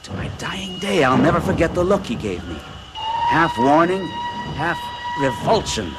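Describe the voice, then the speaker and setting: A man's voice: unhurried, loud music behind the voice, full character voice. An actor in his forties playing a nervous accountant of fixed habits, who recounts a strange experience in an earnest, uneasy way. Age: 40s. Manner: earnest, uneasy storytelling with a lively pitch. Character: nervous middle-aged male accountant of rigid habits. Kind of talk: 1970s radio drama acting.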